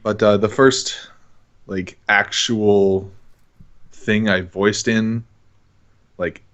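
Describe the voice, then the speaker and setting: A man's voice: fairly low pitch, flat delivery, drawn-out words, a bit bright. A man in his twenties talking casually as an interview guest, rambling and hesitant, with an amused manner. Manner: hesitant, rambling, self-amused with frequent chuckles. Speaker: interview guest, online voice actor. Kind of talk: casual chat.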